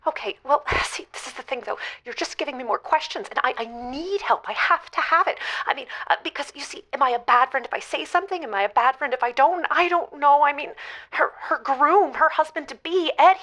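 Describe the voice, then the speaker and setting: A frantic young maid of honor, played by a woman in an improvised comedy call-in, her voice high and sharp. She rambles and sighs in a fluster and cannot make up her mind. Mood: fretting. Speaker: caller.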